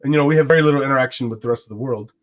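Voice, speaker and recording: A man's voice: mid-range voice, rapid-fire, a bit bright. A man in his forties whose first language is Arabic, musing aloud in a casual podcast conversation with frequent restarts. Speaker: co-host. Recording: dull lo-fi sound.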